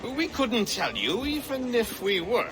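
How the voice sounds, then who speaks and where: A jovial, evasive first mate, with a high male voice. His intonation swings widely, in lively game cutscene style. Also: a little slow, heavily acted. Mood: wry. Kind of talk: dramatic video game cutscene acting.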